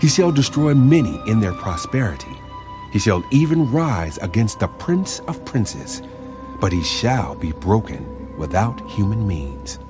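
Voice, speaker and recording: A solemn, commanding angel played by a man declaims prophecy in a dramatised scripture reading. The voice is on the low side and moves up and down a lot, grave throughout, with music clearly playing behind it. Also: very animated, measured pace. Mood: grave, forceful. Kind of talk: dramatised scripture audiobook acting.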